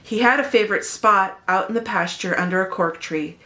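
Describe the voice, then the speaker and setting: Woman reading a picture book aloud, medium pitch. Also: a little fast, bright, forceful tone. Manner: storytelling for young children, addresses the listener. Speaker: children's read-aloud host. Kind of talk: expressive picture-book narration.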